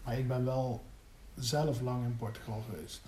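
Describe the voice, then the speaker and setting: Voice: medium pitch, steady tone, normal speed, mellow. A person in reflective casual conversation, searching for words and often repeating them, as if being interviewed. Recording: echoey room, faint background noise.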